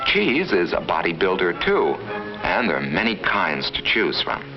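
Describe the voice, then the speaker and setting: A man's voice: deep voice, huge pitch swings, measured pace. A man narrating a 1950s educational film from a script, in an instructive, storytelling manner aimed at children. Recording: muffled vintage 1950s film soundtrack. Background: background music.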